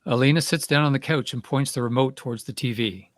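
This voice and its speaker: A man's voice: medium pitch, fast, no echo. A man giving scripted narration, reading out the stage directions of a play.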